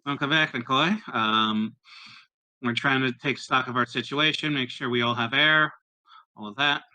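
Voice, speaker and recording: A man playing a tabletop roleplaying game, improvising in character in a hesitant, halting way. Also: medium pitch, pushed and bright, low-quality recording.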